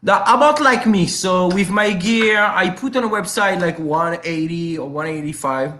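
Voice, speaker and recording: A man's voice: high voice, moderate pace. A man from France with a French accent, chatting casually and animatedly about his electric skateboards. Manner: animated, jokey, says "you know" and "my friend" often. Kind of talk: casual chat.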